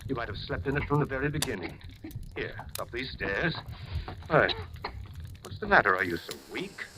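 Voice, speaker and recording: An actor playing an unhinged, obsessed hotel keeper in an old radio horror drama, with a folksy, rambling, falsely solicitous and menacing manner. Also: male voice, medium pitch, big pitch swings, normal speed, sharp tone. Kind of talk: 1940s radio horror acting. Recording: noisy, hissy old-time radio recording.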